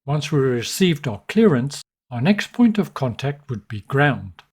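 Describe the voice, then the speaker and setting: A man's voice: medium pitch, very animated, a little slow, a little mellow, slightly rough. A man narrating an instructional tutorial in an orderly, explanatory way. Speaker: flight sim tutorial narrator.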